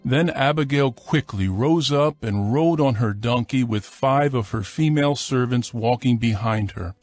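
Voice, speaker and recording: A man narrating a dramatized scripture reading in a measured, formal, scripted style. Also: mid-range voice, a little mellow, soft background music.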